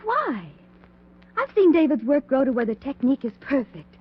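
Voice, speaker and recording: Eager, high-pitched devoted wife. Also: female voice, big pitch swings, moderate pace. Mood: fervent. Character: devoted scientist's wife, eager, fearless, zealous believer. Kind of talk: 1940s radio drama acting. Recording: dull 1940s radio recording.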